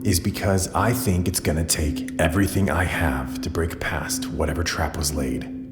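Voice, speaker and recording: A proud, battle-worn hunter in an audio drama, a man with a very deep voice. He gives orders bluntly and gruffly, like a protective older brother. His words ring with long reverb over a prominent music score. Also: fairly even intonation, moderate pace. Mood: grim, resolute. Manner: blunt, commanding. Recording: echoey hall with long reverb.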